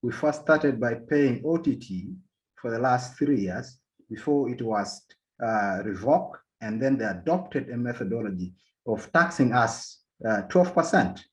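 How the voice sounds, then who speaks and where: A man from Uganda giving an academic policy talk as a panel speaker, halting often and repeating words as he restarts his sentences. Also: mid-range voice, expressive. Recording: dull lo-fi sound.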